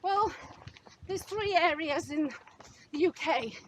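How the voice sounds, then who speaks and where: Woman, 50s, from Wales, high voice, upbeat casual chat, noisy background. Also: slow, a bit bright. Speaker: running channel co-host.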